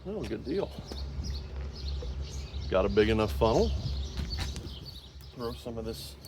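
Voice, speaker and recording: A man's voice: mid-range voice, sing-song, slow pace, noisy background. A man chatting in a rambling, folksy way while he works on an old engine, sounding congested. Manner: rambling, folksy, self-deprecating. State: congested, clearing throat. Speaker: small engine repair vlogger. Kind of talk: casual talk while working.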